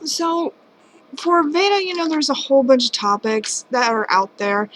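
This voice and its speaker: Late-twenties woman, vlogger, higher-pitched, chatty and self-deprecating, home camera mic. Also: slight background noise, low-quality recording. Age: late 20s. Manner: rambling, candid, self-deprecating. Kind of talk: casual personal vlog. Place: home at night.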